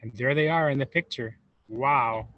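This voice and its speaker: A man reading a storybook aloud to young children, expressive and unhurried, pausing for wondering asides. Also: medium pitch. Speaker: volunteer storybook reader. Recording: roomy echo, dull lo-fi sound.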